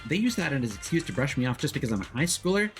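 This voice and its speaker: A man acts out an eager high-school photographer from a video game, earnest and expressive. The pitch swings in a lively way, with faint music behind. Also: very fast. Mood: indignant. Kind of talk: reading game dialogue aloud in character.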